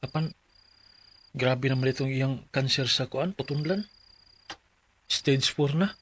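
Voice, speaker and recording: A man's voice: medium pitch, slow pace, a little hiss or hum, natural voice. A man acting a humble, proud widowed father of five in a Bisaya radio drama, speaking in a plaintive, earnest way with a Filipino Bisaya accent. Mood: sorrowful.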